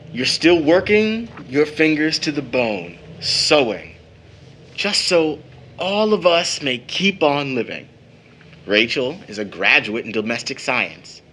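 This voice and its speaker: A man's voice: mid-range voice, pushed and bright, a little hiss or hum, exaggerated voice. A man acting as a proud young uncle in an audio drama, with a theatrical, formal way of talking. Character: playful, teasing young uncle, mock-pompous, proud, later embittered.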